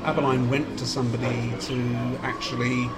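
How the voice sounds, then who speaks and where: A man's voice: mid-range voice, level tone, normal speed. A man asking questions as a podcast interviewer, in casual fan-to-guest chat. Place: public signing event at a shop. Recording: busy public space with crowd noise. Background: crowd chatter.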